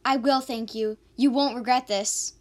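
A woman's voice: on the high side, steady tone, measured pace, lightly acted. A woman playing an impulsive, hot-tempered female ex-convict in an amateur radio drama, emotional and exclamatory. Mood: pleading, remorseful.

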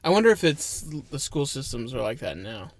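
A man's voice: medium pitch, sing-song, moderate pace, crowd noise. A young man co-hosting a podcast in a casual, joking way, laughing often. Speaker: podcast co-host. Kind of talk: casual chat.

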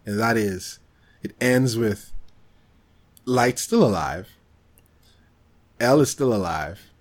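Animated low-voiced man chatting casually. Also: slow. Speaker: podcast co-host. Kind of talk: casual chat about films.

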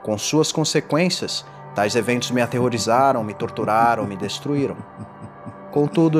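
A man playing a tormented condemned man in a gothic horror audio drama, confessing in a formal, theatrical and deliberate delivery. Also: medium pitch, natural voice. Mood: solemn, anguished. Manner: theatrical, deliberate, ornate diction. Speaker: narrator. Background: music.

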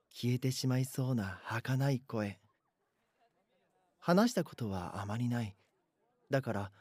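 A man's voice: medium pitch, darkish tone, slightly rough, dry recording. A man playing an anxious schoolboy who narrates an eerie mystery in an audio drama.

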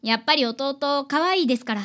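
A woman acts a young female student client in a radio drama. Her voice is high. She is polite and sincere, a caring big sister who sounds worried. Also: a little fast, a bit bright. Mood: concerned.

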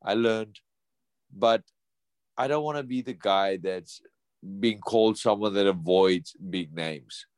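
A man's voice: lowish voice, slow, a little mellow, clear, resonant tone, no echo. A professional boxer in his thirties answering interview questions earnestly, often repeating and restarting words. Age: 30s.

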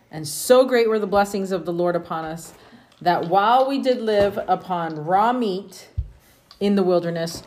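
A woman with a fairly low voice reads scripture aloud to family in an informal way, slipping in casual asides. It is a home recording with faint noise and small clicks behind her. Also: a little mellow. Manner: informal, breaking off to chat with children. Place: at home among family with children.

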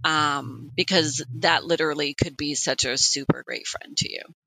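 A woman in her late forties co-hosting a podcast, telling personal stories in an animated, conversational way. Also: sits low, normal speed, vocal fry, dry recording, poor audio. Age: late 40s. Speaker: podcast co-host. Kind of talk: casual personal podcast chat.

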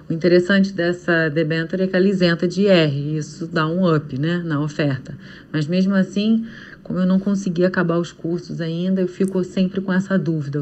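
A woman's voice: lowish voice, a little mellow. A woman from Rio de Janeiro sends a listener question to a podcast, reading it out in a polite, formal way. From Rio de Janeiro, Brazil. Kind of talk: recorded voice-message question.

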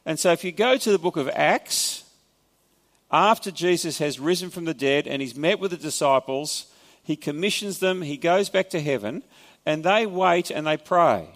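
Aussie preacher guy, slightly high voice w some gravel, chatty sermon, church echo. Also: expressive, normal speed, fairly bright tone. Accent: Australian. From Australia. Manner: conversational, explanatory, wry asides. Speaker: pastor. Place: church hall.

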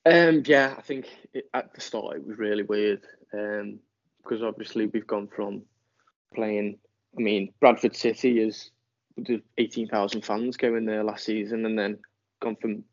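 A young man from near Liverpool talks in a low, fairly flat voice with a Liverpool accent, stumbling and restarting his sentences as he answers interview questions. The sound is dry and a little muffled. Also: no echo. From near Liverpool, England. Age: young adult. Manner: halting, many restarts. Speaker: student footballer guest. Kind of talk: casual interview chat.

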